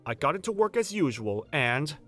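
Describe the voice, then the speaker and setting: A man's voice: on the high side, very animated, moderate pace, fairly bright tone, soft background music. An actor playing an earnest, protective young male manager who narrates a romcom manga dub in first person. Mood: steady narration. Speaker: narrator and lead character.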